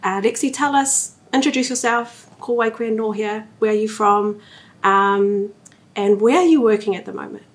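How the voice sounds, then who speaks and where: A woman hosting a podcast in a friendly, relaxed way. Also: medium pitch, normal speed. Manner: friendly, relaxed, mixes in greetings. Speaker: podcast host.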